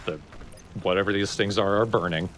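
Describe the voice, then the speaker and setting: A man with a lowish voice joins a gaming commentary. He speaks casually and jokes along with the others, with slight noise in the background. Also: steady tone, fairly quick, fairly bright tone, poor audio. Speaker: let's play co-commentator.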